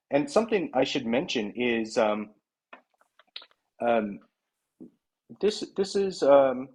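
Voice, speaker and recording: A man presenting his project and interviewing a guest over a video call, talking haltingly with many fillers and restarts. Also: mid-range voice, darkish tone, breathy edge.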